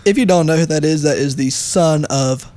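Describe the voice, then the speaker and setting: A man's voice: fairly high pitch, normal speed, warm tone. A young man telling a story casually as a podcast guest, emphatic and given to exaggeration. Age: young adult.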